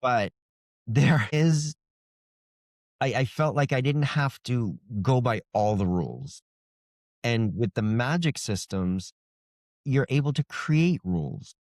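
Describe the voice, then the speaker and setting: A man's voice: mid-range voice, animated, takes it slowly, pauses often, a little mellow, dry recording, clean studio sound. A man being interviewed as an author, explaining things in an informal, loosely phrased, conversational way.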